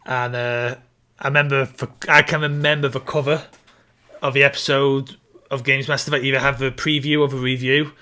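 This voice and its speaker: A man, co-hosting a casual podcast and recalling things in a halting, circling way. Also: medium pitch, level tone, pushed and bright, smooth. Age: early 30s. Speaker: podcast co-host reviewing films. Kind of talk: casual chat, reminiscing.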